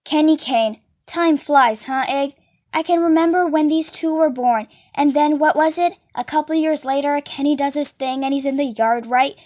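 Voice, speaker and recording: Storybook troublemaker, voiced by a woman reader: high-pitched brash woman, taunting, slangy, spiteful, gossipy. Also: fairly even intonation, moderate pace, darkish tone, dry recording, clean studio sound, lightly acted. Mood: gossipy, mocking.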